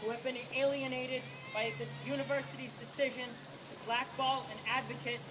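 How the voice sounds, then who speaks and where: A young man in his twenties with a quiet voice, a student, reading a pointed, indignant letter aloud at a protest. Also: extremely high pitch, little pitch movement, normal speed. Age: 20s. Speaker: student speaker. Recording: loud background noise outdoors.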